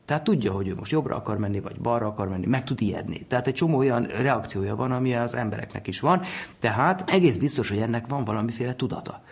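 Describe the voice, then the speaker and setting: Husky-voiced man explaining informally. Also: medium pitch. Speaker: expert commentator. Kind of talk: conversational explanatory talk.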